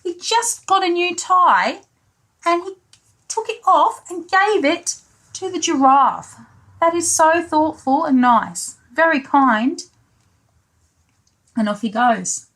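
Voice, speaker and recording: A woman's voice: very high-pitched, animated, slow, pauses often, a bit bright. A woman pre-school storyteller reading a picture book aloud, adding simple explanatory asides for young children.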